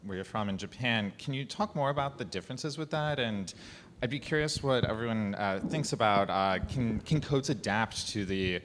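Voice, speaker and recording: Lower-voiced male moderator. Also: animated, moderate pace, a bit bright. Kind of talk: panel moderation. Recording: hall reverb, light noise.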